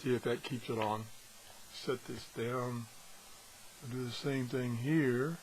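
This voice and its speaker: A man with a slightly husky voice gives a casual, unscripted hands-on review, pausing and thinking aloud as he goes. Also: medium pitch, fairly even intonation, a little mellow, slight background noise, rough recording. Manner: halting, thinking aloud as he handles things. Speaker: gadget reviewer and video host.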